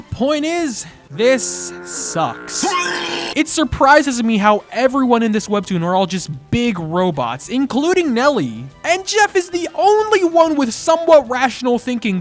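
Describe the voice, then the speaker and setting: A YouTube host giving scripted comedic commentary in a sarcastic, exasperated, slangy way. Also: mid-range voice. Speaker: YouTube host reviewing webtoons. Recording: music behind the voice. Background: background music.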